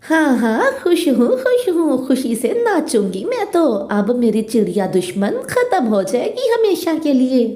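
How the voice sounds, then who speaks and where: A woman's voice: sits high, sing-song, measured pace, hardly any pauses, mellow, pitched above the performer's own voice. A woman voicing a crafty, emphatic female crow in a children's cartoon, with roomy echo. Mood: gleeful. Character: jealous, scheming female crow, later repentant. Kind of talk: Hindi children's cartoon dubbing.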